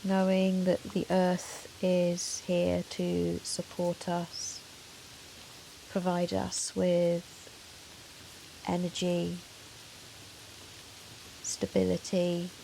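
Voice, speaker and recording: A woman yoga teacher leading a guided meditation in a soothing, measured, gentle manner with long pauses. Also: fairly low pitch, monotone, gravelly, rough recording. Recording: soft hiss of rain noise. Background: soft rain sound.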